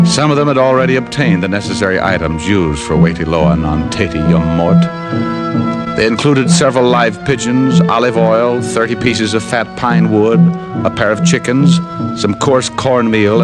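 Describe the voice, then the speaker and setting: An actor playing a wry, hard-boiled private detective who narrates an old radio drama in a dry, measured way. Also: male voice, fairly low pitch, natural voice. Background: dramatic music score.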